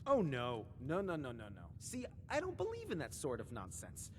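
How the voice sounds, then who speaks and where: A woman's voice: deep voice, wildly swooping pitch, normal speed, in a large hall, noisy background. A woman playing an eccentric, scatterbrained, book-obsessed librarian in a video game, rambling in sing-song rhymes and wordplay. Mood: agitated, evasive. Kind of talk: theatrical video game character acting.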